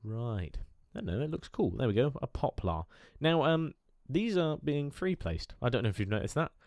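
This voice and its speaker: A man's voice: medium pitch, very animated, normal speed, darkish tone, no room sound, studio quality. A man with a cold, nasal and chatty, talking over gameplay with lots of fillers. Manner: chatty, enthusiastic, rambling with fillers. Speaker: gaming YouTuber. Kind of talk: casual game commentary.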